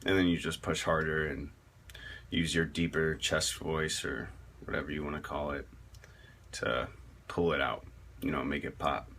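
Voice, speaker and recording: A man giving a casual spoken tutorial on metal vocal technique, rambling and halting with many fillers and restarts. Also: extremely low pitch, fairly bright tone.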